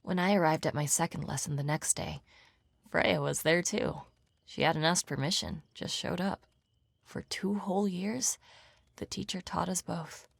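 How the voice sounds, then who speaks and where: A woman playing a guarded, blunt young pianist in a video game, deadpan and matter-of-fact. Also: fairly low pitch, unhurried, vocal fry, slightly rough, no echo, heavily acted. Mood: wistful, flat. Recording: music behind the voice.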